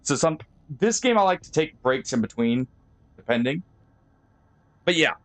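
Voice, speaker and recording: Male game streamer, light higher voice, relaxed think-aloud commentary full of fillers. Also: moderate pace. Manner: friendly sign-off to viewers. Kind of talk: live gameplay commentary.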